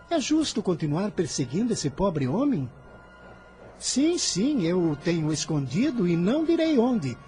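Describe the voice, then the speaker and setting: Fervent youth, defiant, emphatic, animated delivery, radio drama, faint music behind. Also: male voice, a little high. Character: impassioned defiant fifteen-year-old boy defending the poor.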